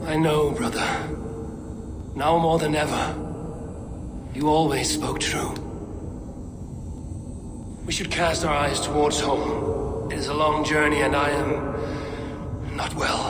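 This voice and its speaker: A defiant Norse warrior in a video game, his high voice measured and slow. His lines echo, and a loud orchestral score swells behind him. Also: flat delivery, heavy background noise. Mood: weary. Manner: defiant battle shouts, then low and weary. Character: grim Norse warrior man, defiant and loyal. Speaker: warrior clan leader.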